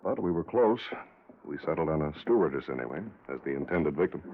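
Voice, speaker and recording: Authoritative police captain, clipped, businesslike, low pitch, vintage radio drama, phone-like narrow recording. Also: male voice, lively intonation, brisk, a little hiss or hum. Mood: resigned. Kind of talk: 1950s radio drama acting.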